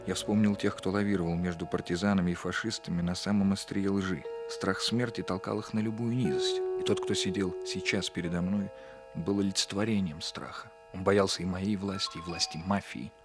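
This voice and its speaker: An actor playing a composed, authoritative police captain in a radio drama, measured and commanding. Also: male voice, deep voice, fairly even intonation, music in the background. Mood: reflective, narrating. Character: composed, authoritative male carabinieri captain investigating crime. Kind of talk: radio drama dialogue and first-person narration.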